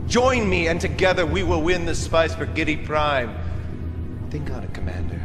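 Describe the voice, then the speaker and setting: A man's voice: fairly high pitch, expressive, moderate pace, close to an everyday voice. A man playing a scheming, sadistic baron in a video game cutscene, with a theatrical, menacing and gloating manner. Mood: smooth scheming. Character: scheming, sadistic male baron of a villainous noble house. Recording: loud music under the voice.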